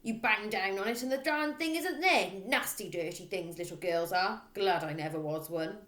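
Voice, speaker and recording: A woman voicing a bullying middle-aged headmistress in a storybook, who barks and shouts with open contempt. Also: medium pitch, normal speed, reverberant, lightly acted. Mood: scornful, loud.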